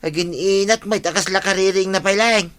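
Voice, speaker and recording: A man's voice: high voice, a little fast, a bit bright. A man with an Ilocano Filipino accent playing an eager, community-minded villager in a comedy radio drama.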